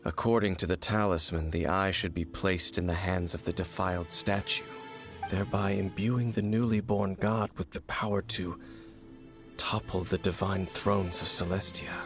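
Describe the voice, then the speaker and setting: Cynical aloof wanderer, lowish gravelly voice, dry and measured, anime game. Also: male voice, fairly even intonation, over music. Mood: solemn. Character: aloof, world-weary male wanderer, cynical about gods. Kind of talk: anime-style video game voice acting.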